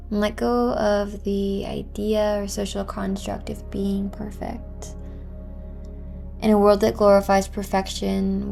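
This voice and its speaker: A woman teaching a yoga class, guiding movement and breath in a gentle, encouraging manner over music. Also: medium pitch, vocal fry. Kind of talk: guided yoga class with meditative cues.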